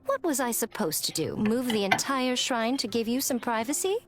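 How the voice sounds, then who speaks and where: Elegant teasing priestess for an anime game, voiced by an actress: highish, very lively intonation, playful and coy, music behind. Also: female voice, moderate pace, lightly acted. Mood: playful, smug. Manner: playful, coy, mock-polite. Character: sly, teasing, elegant shrine priestess woman. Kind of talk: anime video game voice acting.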